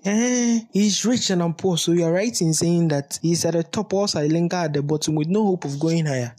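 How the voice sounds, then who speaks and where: A man with a Ghanaian accent and a husky voice playing a defensive, resentful teenage son from a poor home in an audio drama. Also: fairly high pitch, normal speed, darkish tone, close to an everyday voice. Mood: bitter, resentful. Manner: sullen, defensive.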